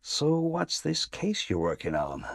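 A man's voice: a little high, huge pitch swings, unhurried, vocal fry, no echo, studio quality, full character voice. A man playing an exasperated, wry private investigator in an audio play. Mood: wry, businesslike.

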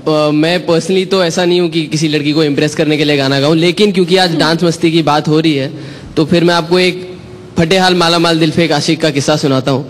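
Wry man in his thirties, high voice. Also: fairly even intonation, a little fast, in a large hall. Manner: wry storyteller, sings snatches of songs. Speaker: guest judge. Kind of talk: casual chat on a TV show. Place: TV studio stage. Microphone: handheld mic.

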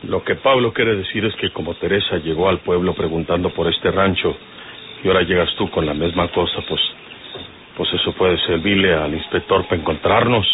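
A man's voice: low voice, normal speed, close to an everyday voice. A man acts out a stern Mexican outlaw who talks like a wary father, probing and repeating himself in folksy phrases. It is old radio drama, narrow and tinny, with a faint layer of noise. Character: stern suspicious outlaw, fatherly to younger men. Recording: noisy, narrow-band old radio recording.

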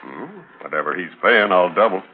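A deep-voiced, calculating cattle boss. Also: male voice, animated, normal speed, husky. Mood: coaxing. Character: calculating cattle boss, grown man, smooth and persuasive. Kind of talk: 1950s radio acting. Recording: thin, phone-like old radio recording.

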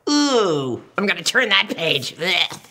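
An older woman with a somewhat low voice reads a picture book to children. Her pitch rises and dips in wide, playful swings as she exclaims and asks the child questions. The sound is clean, recorded in a studio. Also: sing-song, slow, pushed and bright.

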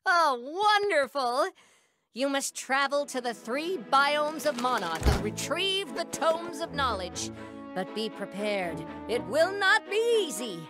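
Tiny nervous cartoon sage, very theatrical, high n lively, game cutscene. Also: male voice, takes it slowly, over music, heavily acted. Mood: grandly earnest. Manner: theatrical, flustered, grandiose. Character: small anxious, dramatic creature elder, keeper of magic books. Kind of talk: animated game cutscene voice acting.

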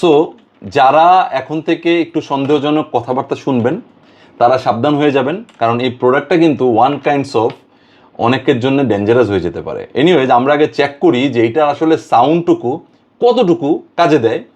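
A man's voice: medium pitch, expressive, moderate pace, darkish tone, muffled recording. A Bangladeshi man hosting a casual product demonstration, mixing English words and phrases into his talk. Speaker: product reviewer and video host.

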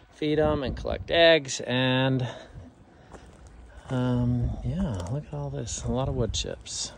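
A man's voice: mid-range voice, darkish tone. Easygoing man touring his backyard. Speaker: family vlogger. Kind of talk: casual vlog narration. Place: backyard outdoors.

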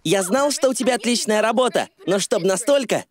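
A woman's voice: mid-range voice, expressive, fairly quick, natural voice. A woman voicing a clever, cautious, confident boy detective in a cartoon dub. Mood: proud.

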